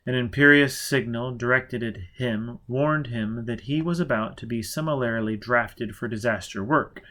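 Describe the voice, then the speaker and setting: Expressive male audiobook narrator. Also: mid-range voice. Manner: steady, expressive reading, with occasional slips and self-corrections. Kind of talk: amateur audiobook reading of a novel.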